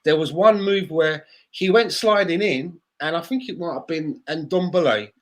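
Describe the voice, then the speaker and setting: A man's voice: on the high side, moderate pace. A man on a casual sports podcast, blunt and swearing freely as he argues. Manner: blunt, swears freely, plays devil's advocate. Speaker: podcast co-host.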